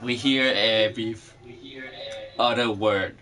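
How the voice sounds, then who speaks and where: A man's voice: lowish voice, steady tone, slow, pushed and bright, slight background noise. A man chatting casually over a remote call about comics and games, loose and joking, laughing easily. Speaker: podcast co-host.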